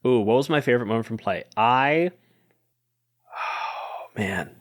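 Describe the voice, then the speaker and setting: A man is a guest player in a tabletop game. He has a medium-pitched voice and talks casually, improvising narration and chatting. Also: expressive, unhurried. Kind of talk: casual tabletop play and chat.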